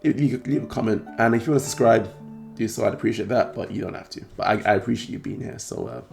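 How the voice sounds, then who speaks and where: A man with a British accent hosting a trading card channel, talking casually to camera in a croaky, hoarse voice, rambling and clearing his throat often. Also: lowish voice, fast, a little mellow, faint music behind. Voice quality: gravelly.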